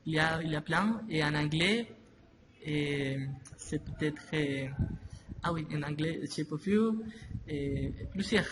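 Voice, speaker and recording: A man answers an interviewer slowly, stopping often to restart his sentences. His voice sits a little high. Steady noise runs behind the recording. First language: Spanish. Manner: halting, many restarts and fillers. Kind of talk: slow, hesitant interview answers. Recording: noisy ambience behind voice.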